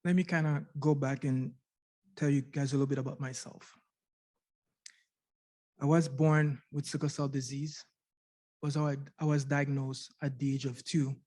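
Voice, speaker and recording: Middle-aged man, mellow dark voice, level and flat, earnest conference testimony. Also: mid-range voice, moderate pace, long pauses. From Canada. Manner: earnest, repeats words, asks rhetorical questions. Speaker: conference speaker sharing his patient story. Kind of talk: personal testimony talk. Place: university conference room.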